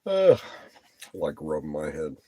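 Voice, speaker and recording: A man's voice: very deep voice, wildly swooping pitch, slow, mellow, no room sound. A tired man rambling and swearing on a late-night live stream, lying down as he talks and repeating himself. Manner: talking while lying down.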